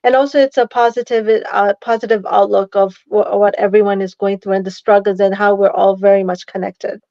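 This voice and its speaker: A woman's voice: medium pitch, little pitch movement, a little fast, mellow, no room sound. A woman in her thirties from Tanzania, a guest speaking earnestly with fillers and restarts. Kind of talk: casual interview. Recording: dull dry remote audio.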